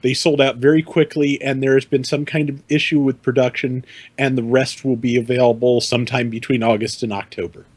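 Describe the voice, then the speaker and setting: Male podcast panelist, middle-range voice, measured and tentative, conversational. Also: medium pitch, level tone, breathy edge. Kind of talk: casual discussion of hobby news.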